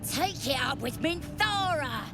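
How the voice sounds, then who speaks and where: A woman's voice: high-pitched, animated, unhurried. A woman voicing a scrappy, defiant female goblin in a fantasy game, coarse and indignant. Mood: indignant, desperate. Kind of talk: video game character acting. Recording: loud music behind.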